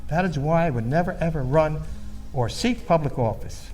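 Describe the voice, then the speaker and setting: An actor playing an earnest, patriotic baby-boomer storyteller in an emphatic, solemn stage monologue. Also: male voice, moderate pace, a little mellow, faint music behind, natural voice. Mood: solemn, resolute.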